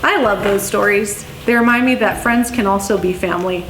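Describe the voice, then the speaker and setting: A woman reading children's stories aloud in an expressive storytelling way. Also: mid-range voice, fairly quick, faint music behind. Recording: roomy echo, faint background noise.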